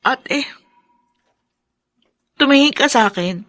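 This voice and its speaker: A protective younger sister in a Filipino radio drama. The voice is high and forceful, with an urgent, expressive, emotional delivery. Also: female voice, slow pace, dry recording, studio quality, full character voice. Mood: pleading, comforting.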